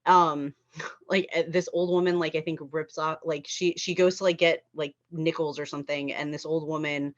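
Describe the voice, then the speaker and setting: A woman's voice: deep voice, steady tone, moderate pace, highs cut off. A woman in a casual group discussion of a script, rambling and often repeating and rephrasing herself. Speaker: table read participant.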